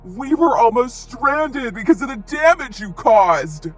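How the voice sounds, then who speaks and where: A man voices a dithering alien pirate crewman in an audio space comedy. The voice is high and slow, flustered and quick to take offence. Faint music plays behind. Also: lively intonation, exaggerated voice. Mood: outraged. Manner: dithering, easily outraged. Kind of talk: audio comedy drama acting.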